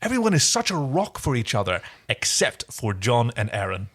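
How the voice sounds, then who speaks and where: A man's voice: medium pitch, big pitch swings, moderate pace, a bit bright. A man chatting casually and effusively as he co-hosts a recap podcast about a TV series. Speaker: podcast co-host.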